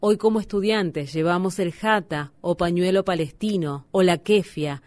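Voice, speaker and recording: A woman's voice: on the low side, normal speed, darkish tone. A woman reading scripted voice-over translations of statements for a news broadcast.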